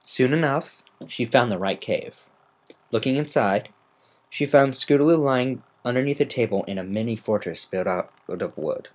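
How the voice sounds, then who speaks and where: A woman's voice: a bass voice, lively intonation, normal speed, a little mellow. A woman reading a story aloud as an amateur, in semi-dramatic scripted narration. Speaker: amateur fan fiction reader.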